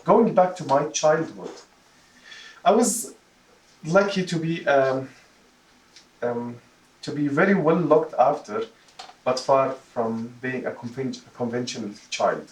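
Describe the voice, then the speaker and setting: Man from Jordan, club speech, halting delivery, medium pitch, roomy distant recording. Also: animated. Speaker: club member giving a first speech. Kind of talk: prepared personal speech to a club audience. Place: meeting room, recorded from a distance. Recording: roomy echo, distant microphone.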